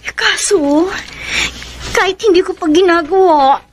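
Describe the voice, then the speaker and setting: Coy, high-pitched young Filipina. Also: female voice, unhurried, noisy background. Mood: hesitant, guilty. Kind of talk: Filipino radio drama acting. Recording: music bed behind the voice.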